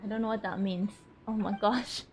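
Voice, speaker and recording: A woman's voice: medium pitch, fairly even intonation, fairly quick, a little mellow, slight background noise. A woman livestreaming a video game, giving casual, murmured reactions in short fragments. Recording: game music behind the voice. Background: video game music.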